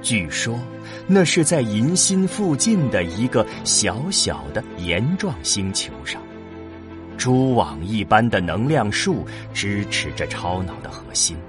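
A man's voice: on the low side, sing-song, slow. A man reading scripted narration for a science fiction audio drama. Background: music under the voice.

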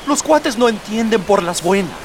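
A man's voice: high voice, animated, normal speed, recorded from a distance, noisy background. A man playing an idealistic, exasperated rebel prince in a radio drama, speaking earnestly and emphatically. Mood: warning.

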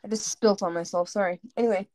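A woman's voice: medium pitch, steady tone, fast, a little mellow. A woman co-hosting a podcast, chatting casually and a little tipsy. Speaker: podcast co-host. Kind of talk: casual chat.

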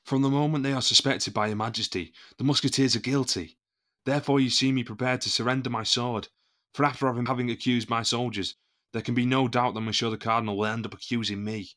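A man's voice: medium pitch, fairly even intonation, fast, pushed and bright, lightly acted. A man playing a proud, loyal captain of the musketeers in a period audio drama, speaking formally and with indignant emphasis. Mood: defiant.

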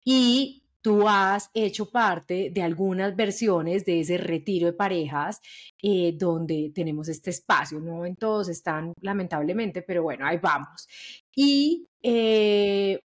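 A woman with a Colombian accent hosts a conversational podcast. Her delivery is chatty and colloquial, with emphatic phrasing, and her voice sits at an ordinary female pitch. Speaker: podcast host. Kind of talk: casual conversational interview.

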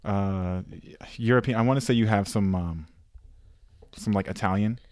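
A man's voice: on the low side, moderate pace, darkish tone, no echo, clean studio sound. A man co-hosting a podcast in casual chat, rambling and profane, full of fillers. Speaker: podcast co-host.

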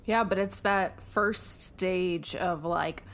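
A woman's voice: on the low side, steady tone, takes it slowly, a bit bright. A woman co-hosting a podcast, chatting casually in an informal, self-deprecating way. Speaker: podcast co-host interviewing a guest. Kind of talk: casual chat. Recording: faint background noise.

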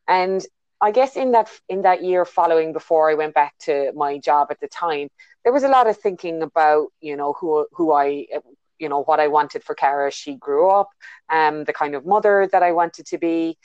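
A woman's voice: sits low, normal speed, darkish tone, clear, resonant tone, no echo, muffled recording. An Irish woman in her thirties, a consultant being interviewed from her bedroom over a call, thoughtful and full of fillers and restarts. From Ireland. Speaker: guest, business consultant. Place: bedroom at home.

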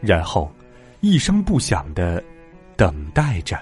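A man narrating a bedtime story for children as host, in simple, expressive phrases. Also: sits low, slow, husky. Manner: addresses young children in simple, animated phrases. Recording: music behind the voice. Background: background music.

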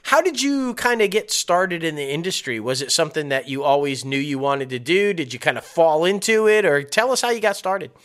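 A man's voice: fairly high pitch, lively intonation, fairly quick, hardly any pauses, fairly bright tone. A male host in a casual interview, enthusiastic and rambling, with many "uh" and "like" fillers. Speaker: podcast host.

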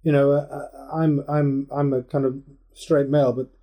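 A man's voice: mid-range voice, little pitch movement, normal speed, dark tone. A man from Wales interviewing a singer, friendly and hesitant, with lots of fillers. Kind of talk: casual interview chat.

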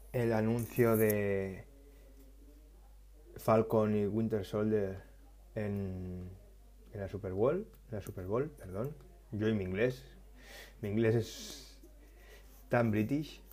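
A man talks through tech news in an unhurried, low and mellow voice. He often stops mid-word, restarts and corrects himself, musing aloud as he goes. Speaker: tech vlogger. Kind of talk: casual tech news chat.

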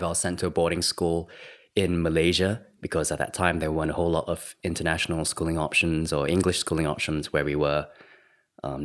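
Man in his 30s, very low voice, relaxed chatty podcast guest. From Singapore. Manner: chatty and easygoing, with small laughs and hedges. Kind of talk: relaxed conversational storytelling.

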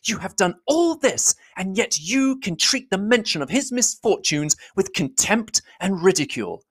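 A reader voicing an indignant, proud young woman who accuses in heated, rapid declamation. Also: mid-range voice, sing-song, fairly bright tone, husky, pitched above the performer's own voice. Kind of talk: audiobook reading.

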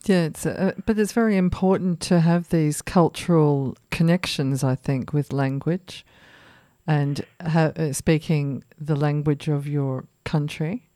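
Woman, radio host, courteous questioning, mellow tone, dry close-mic sound. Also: sits low, fairly even intonation, measured pace, no room sound. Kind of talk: radio interview.

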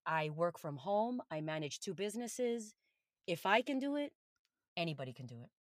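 A woman's voice: lowish voice, very animated, normal speed, dry recording, clean studio sound. A woman from Colombia whose first language is Spanish, speaking earnestly and encouragingly as a podcast interview guest.

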